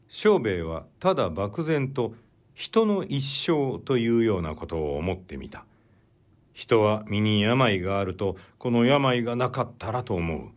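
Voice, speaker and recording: A man reading a literary short story aloud as a narrator, in a measured storytelling delivery. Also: on the low side.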